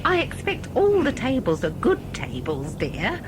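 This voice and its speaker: A woman's voice: a little high, huge pitch swings, a little slow, noisy background. Woman acting prim, swooping, genteel older wife. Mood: soothing, chiding. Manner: prim, fussing. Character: Sybil, genteel, chiding, fluttery older wife. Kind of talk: comic audio drama acting.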